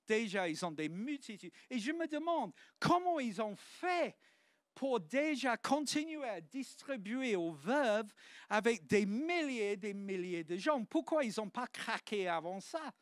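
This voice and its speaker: A man's voice: high-pitched, big pitch swings, unhurried, no room sound, clean studio sound. A man, preaching a sermon in church with earnest, exclamatory restarts. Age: 50s.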